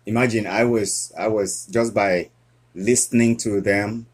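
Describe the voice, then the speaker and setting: Earnest Cameroon-born male guest, husky, halting delivery. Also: lowish voice, steady tone. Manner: earnest, halting with frequent restarts. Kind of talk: casual interview conversation.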